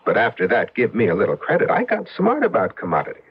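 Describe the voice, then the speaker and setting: A man's voice: lowish voice, wildly swooping pitch, quick pace, breathy edge, exaggerated voice. An actor in an old radio drama playing an ambitious, impatient, slick husband turned rich businessman. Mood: eager, cocky. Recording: dull lo-fi radio drama recording.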